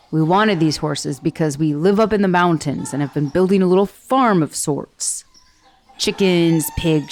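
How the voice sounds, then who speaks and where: A woman voicing a boastful, easygoing female titan warrior head who teases a stranger in an ASMR roleplay. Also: fairly low pitch.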